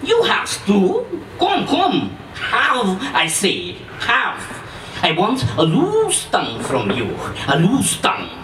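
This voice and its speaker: A man's voice: high voice, huge pitch swings, slow, a bit bright, heavily acted. Man acting a wildly swooping, menacing ex-commando. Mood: bullying, insistent. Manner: emphatic, repetitive, broken grammar with questioning tags. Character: menacing, boastful Iranian ex-commando, volatile and emphatic. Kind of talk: dramatic character reading from a novel. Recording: distant echoey room, faint noise.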